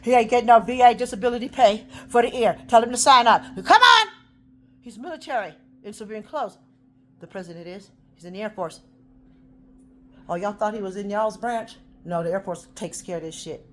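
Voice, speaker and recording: A woman ranting in a rambling, profane monologue, swinging between loud outbursts and low muttering. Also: lively intonation, brisk, slight background noise. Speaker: vlogger.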